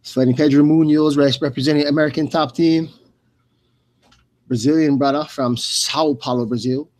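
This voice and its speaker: A man's voice: on the high side, steady tone, normal speed, darkish tone. Male radio host for live fight play-by-play, informal and slangy, rambling between announcements. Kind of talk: loose, casual live fight commentary.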